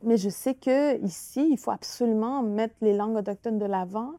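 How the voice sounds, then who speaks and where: A woman with a Quebec French accent whose first language is Innu, talking through her ideas slowly, with frequent restarts. Her voice is mellow and warm. The sound is dry and close. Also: fairly high pitch, no echo. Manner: thoughtful, hesitant, many restarts and "tu sais". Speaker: author and artist guest. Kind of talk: reflective conversational interview.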